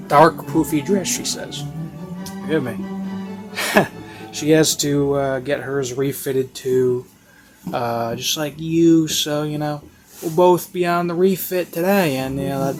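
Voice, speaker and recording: A man's voice: mid-range voice, steady tone, takes it slowly. A man giving a casual, unscripted review in a rambling, hesitant way, often interrupting himself. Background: classical instrumental music.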